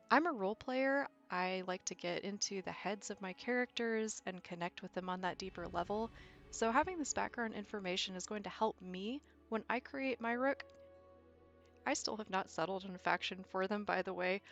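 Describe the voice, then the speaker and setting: A woman's voice: mid-range voice, fairly bright tone. A woman with a slightly scratchy, allergy-affected voice narrates an explanatory video essay in an articulate, conversational way. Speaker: video creator, game lore explainer. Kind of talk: scripted video essay narration with casual asides. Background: background music.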